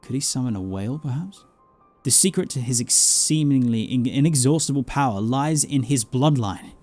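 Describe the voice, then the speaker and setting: A man voicing a grave, scholarly mentor from a fantasy game, telling dark lore in a formal, deliberate storytelling manner. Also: medium pitch, lively intonation, close to an everyday voice. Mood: grave, dramatic. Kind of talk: dramatic reading of fantasy game dialogue. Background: game music.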